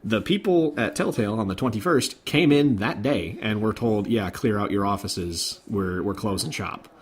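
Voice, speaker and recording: A man's voice: lowish voice, normal speed, breathy edge. A man hosting a podcast, recounting news in an informal, conversational way. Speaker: podcast host. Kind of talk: casual podcast chat about game industry news.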